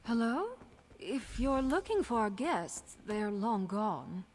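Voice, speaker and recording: A woman's voice: on the high side, big pitch swings, takes it slowly, a little mellow. A woman voicing a courteous, wry priestess of a love goddess in a video game, serene and slightly arch. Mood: welcoming. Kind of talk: video game character acting.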